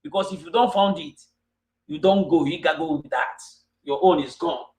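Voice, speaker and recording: A man's voice: fairly high pitch, moderate pace, a little mellow, reverberant. A man with a Nigerian Igbo accent giving an angry, scolding monologue to his followers. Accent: Nigerian Igbo English. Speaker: self-styled king addressing followers. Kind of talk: fiery monologue.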